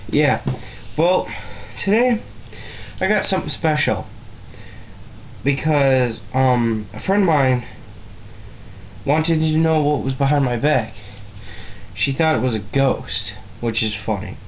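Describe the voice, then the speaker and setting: A man chatting casually to camera as an amateur video blogger, rambling and halting, with a self-deprecating manner. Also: mid-range voice, expressive. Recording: dull lo-fi webcam-style sound, faint noise.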